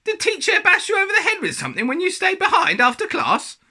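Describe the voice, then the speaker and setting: A man doing a cheeky schoolboy in a children's storybook reading, with a very high, bright, piercing voice. The lad's tone leaps wildly up and down as he mocks and jeers loudly. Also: wildly swooping pitch, quick pace, full character voice. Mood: teasing. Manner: teasing, loud and high. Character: cheeky mocking schoolboy friend.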